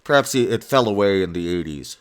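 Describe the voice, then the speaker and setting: A man's voice: low-pitched, lively intonation, a little fast, clean tone. A man roleplaying in a tabletop game, easygoing and hesitant. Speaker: player. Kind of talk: in-character roleplay.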